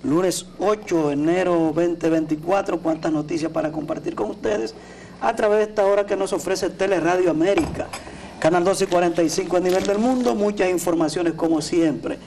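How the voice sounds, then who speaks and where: A man's voice: high voice, moderate pace, a little mellow. A middle-aged man with a Dominican accent commenting on the news as co-host of a TV talk show. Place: TV studio at a desk. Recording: distant mic with clear background noise.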